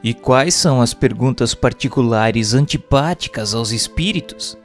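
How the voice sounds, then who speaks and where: A man's voice: mid-range voice, measured pace, natural voice. A man with a Brazilian accent playing an earnest student who puts formal, studied questions in a scripted radio drama. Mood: earnest, inquiring. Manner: formal, inquiring. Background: music.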